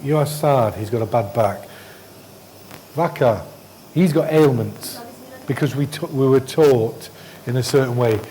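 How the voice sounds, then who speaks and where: A man's voice: mid-range voice, dark tone, poor audio. Man, middle-aged, endoscopy trainer, blunt clipped commands, faint background noise. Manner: blunt, brisk instructions, dismissive asides. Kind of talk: hands-on teaching, coaching a trainee. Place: hospital endoscopy training room.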